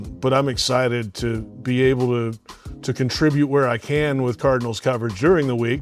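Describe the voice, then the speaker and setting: A play-by-play radio announcer from Alabama answering interview questions casually, rambling with many fillers and restarts. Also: male voice, medium pitch.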